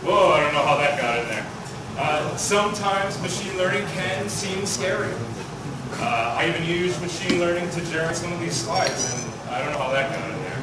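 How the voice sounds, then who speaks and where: A man giving a technical talk at a conference, loud and asking the audience questions. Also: on the high side, expressive, moderate pace, heavy background noise. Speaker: conference speaker. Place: large conference hall. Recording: echoey hall, mic from far off.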